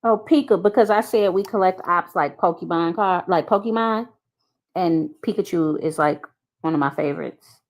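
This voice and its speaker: A woman's voice: lowish voice, normal speed. A woman co-hosting a casual livestream, bantering with opinions. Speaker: livestream co-host.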